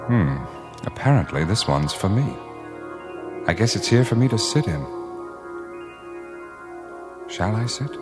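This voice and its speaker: Pondering adventurer, low pitch, hesitant, inquisitive, radio drama, music behind. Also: male voice, very animated, measured pace, long pauses. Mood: puzzled, pondering.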